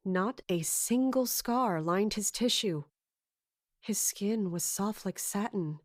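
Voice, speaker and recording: An actress playing an observant attendant in a fantasy audio drama, narrating and talking in a measured, descriptive way. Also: female voice, mid-range voice, darkish tone, no room sound, studio quality, natural voice. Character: observant female attendant narrating care of a prince.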